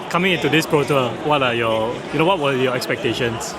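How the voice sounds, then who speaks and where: This is an upbeat man from Singapore, chatting casually and firing off quick affirmations as he vlogs a card tournament. His voice sits at an ordinary middle pitch for a man. A loud crowd murmurs all around him. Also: low-quality recording. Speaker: vlogger and tournament player interviewing opponents. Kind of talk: casual on-the-spot interviews and vlog narration. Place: busy card game tournament venue. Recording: busy crowd noise behind.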